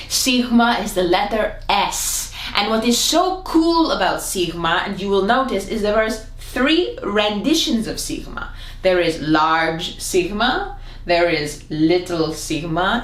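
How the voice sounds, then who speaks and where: A woman gives a comedic language lesson at an unhurried, deliberate pace. She is playful and theatrical, with sudden jumps in pitch, and her voice echoes around a roomy space. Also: fairly bright tone. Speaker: Greek language teacher. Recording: echoey room.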